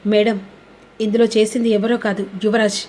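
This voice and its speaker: A woman's voice: medium pitch, little pitch movement, normal speed, warm tone, slight background noise, natural voice. A woman voicing a respectful informant who reports emphatically to a boss in a serial recap. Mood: urgent.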